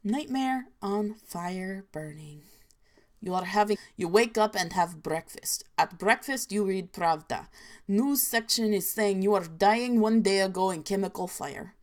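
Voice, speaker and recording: A woman voicing a grim, deadpan Soviet storyteller in a put-on mock Russian accent with clipped, broken grammar. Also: medium pitch, unhurried, natural voice. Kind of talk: reading creepy stories aloud.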